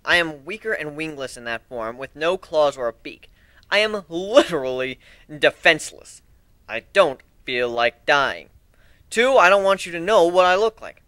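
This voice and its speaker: A man's voice: fairly high pitch, bright, forceful tone, no echo, clean studio sound. A man playing a sarcastic, cocky griffin pirate in an amateur story reading, with a flippant manner. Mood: stubborn, sardonic. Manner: flippant, sardonic.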